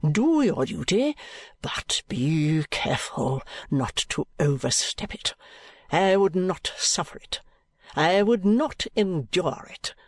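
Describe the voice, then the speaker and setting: A man's voice: on the high side, expressive, creaky, no room sound, full character voice. A man voicing a proud, elderly aristocratic baronet who speaks stiffly and with wounded dignity. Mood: stiffly indignant. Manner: stiff, haughty, slow and deliberate. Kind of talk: audiobook character reading.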